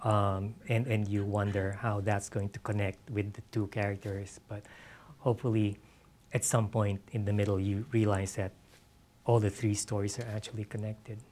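A man's voice: on the low side, flat delivery, normal speed, a little mellow. Hesitant middle-aged man. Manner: hesitant, repeats words and phrases. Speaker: author in an on-stage conversation. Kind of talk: unscripted Q&A talk. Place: on stage in an auditorium with an audience. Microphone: lapel mic.

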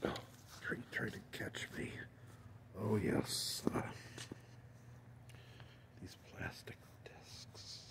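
A man whispers slowly and haltingly through an outdoor ASMR tour of a backyard pool at dusk. His low voice is gravelly and frayed at the edges, and it stays unhurried throughout. The handheld camera sits close to him in the open air. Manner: soft, slow, halting whisper with fillers. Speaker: ASMR creator. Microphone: handheld camera, filming his own hands.

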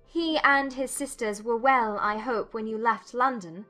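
Witty, self-possessed young lady, played by an actress, lightly high-pitched, formal and teasing, period audiobook drama. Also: unhurried, a bit bright, soft background music. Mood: politely probing. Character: witty, self-possessed young English gentlewoman, playfully challenging.

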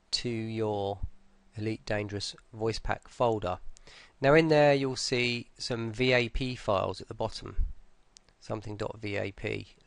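A man presenting a software tutorial in a casual, informal way, explaining hesitantly step by step. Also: mid-range voice, dry recording. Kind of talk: casual screen-recorded tutorial narration.

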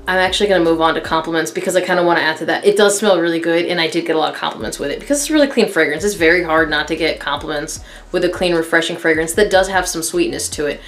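A woman in her thirties casually reviewing a fragrance to camera from her living room, candid and chatty with many fillers. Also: fairly low pitch. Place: living room in front of a couch, indoors.

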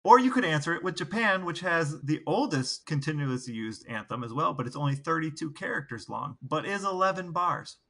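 A man co-hosting a podcast in casual banter, explaining things in a measured way. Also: on the high side, expressive, gravelly, sharp tone. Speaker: podcast co-host.

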